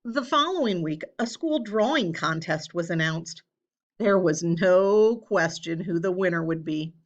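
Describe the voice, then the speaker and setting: Female children's storybook reader, unhurried and expressive, measured phrasing, slightly muffled lo-fi sound. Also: lowish voice. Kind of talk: children's read-aloud, scripted narration.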